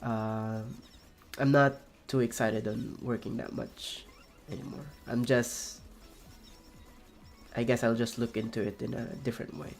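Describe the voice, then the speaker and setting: A young man with a raspy, gravelly voice talks loosely while he plays, trailing off and restarting his sentences. His pitch sits in an ordinary middle range. Faint game music plays underneath. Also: fairly even intonation, a little slow. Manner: rambling, soft-spoken, many fillers and restarts. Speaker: streamer. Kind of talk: casual chat while playing a game.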